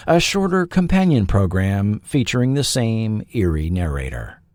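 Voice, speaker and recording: Male host-narrator for scripted documentary: dramatic, unhurried delivery, lively intonation, dry close sound. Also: medium pitch, dry recording. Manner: dramatic, deliberate storytelling.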